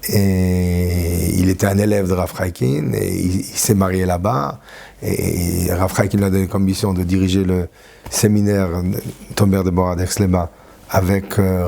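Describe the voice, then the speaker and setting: A man in his sixties from France, a rabbi recalling his life in an interview, halting often with fillers and restarts. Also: low-pitched, gravelly, warm tone. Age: 60s. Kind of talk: reminiscing interview.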